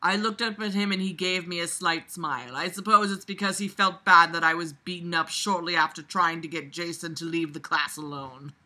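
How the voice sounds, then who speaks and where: Bright, forceful, exasperated reader-commentator. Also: medium pitch, normal speed, hardly any pauses. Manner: exasperated, sarcastic, blunt and swearing; animated, emphatic, jumps from muttering to loud outbursts. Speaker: reader reviewing a book aloud. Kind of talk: casual running commentary while reading a novel aloud.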